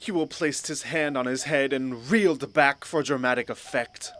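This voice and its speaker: A man's voice: medium pitch, normal speed, fairly bright tone, slight background noise. A man narrating a scripted fantasy story. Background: faint background music.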